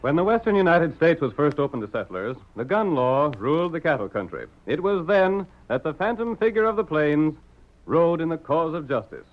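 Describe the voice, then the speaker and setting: A man's voice: a little high, big pitch swings, moderate pace. A man narrating a western radio drama in a grand, declamatory old-time announcer style. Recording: dull, hissy old radio recording.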